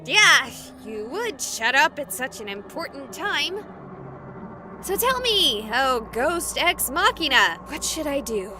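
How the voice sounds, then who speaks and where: A woman playing an excitable, jokey young streamer in a haunted hospital video game, expressive and rambling. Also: high-pitched, slow pace, soft background music, exaggerated voice. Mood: nervous, joking. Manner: expressive, rambling, wisecracking to an unseen audience.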